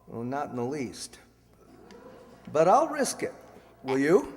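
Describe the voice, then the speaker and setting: A man's voice: mid-range voice, huge pitch swings, slow, darkish tone. A man playing a suave, teasing ex-husband in a radio play, wry and playful. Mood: tender, playful. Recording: stage hall reverb.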